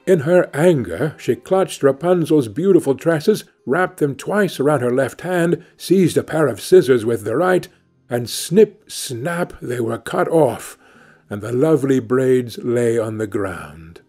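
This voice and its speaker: A man narrating a scripted fairy tale as an audiobook reader, in a measured storytelling manner. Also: medium pitch, animated, darkish tone, breathy edge. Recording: music playing behind the voice. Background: music.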